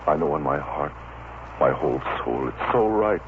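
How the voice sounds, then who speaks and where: A man's voice: extremely low pitch, expressive, normal speed. Impassioned radio-soap leading man, muffled. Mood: ardent, tender. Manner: impassioned, melodramatic, commanding. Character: ardent, protective, masterful gentleman lover. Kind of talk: old-time radio soap opera acting. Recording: muffled, noisy vintage radio recording.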